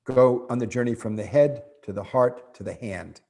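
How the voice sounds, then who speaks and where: A man's voice: mid-range voice, creaky, darkish tone. Earnest man hosting an interview. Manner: measured, earnest, with restarts. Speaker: host. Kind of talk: interview introduction.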